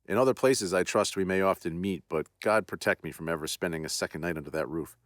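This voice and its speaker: Shaken proud general, formal and earnest; low voice, dry close sound, read by a man. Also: fast, no echo, lightly acted. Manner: earnest, formal period speech. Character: shaken proud male army general confessing terror.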